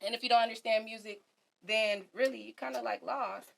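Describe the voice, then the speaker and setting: A woman who works in A&R, chatting casually as an animated, slangy storyteller. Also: a little high, brisk, vocal fry, low-quality recording. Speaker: A&R, guest. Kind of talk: casual chat.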